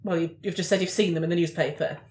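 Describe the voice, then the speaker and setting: A woman's voice: lowish voice, steady tone, quick pace, a little mellow, slight background noise, close to an everyday voice. A woman playing a prim, fussy, pedantic newspaperwoman in a tabletop roleplaying game. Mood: indignant. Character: prim, fussy, indignant newspaperwoman in floral dressing gown. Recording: roomy indoor echo.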